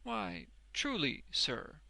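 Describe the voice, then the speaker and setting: A man's voice: mid-range voice, huge pitch swings, very slow, gravelly, sharp tone, no echo, heavily acted. A man voicing a smug, obsequious street ballad seller in a storybook, deferential yet self-important. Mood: falsely modest.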